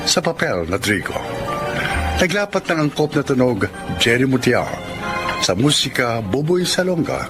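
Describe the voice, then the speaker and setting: A man announcing for a radio drama in a measured, theatrical, scripted style. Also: mid-range voice, very animated, music in the background.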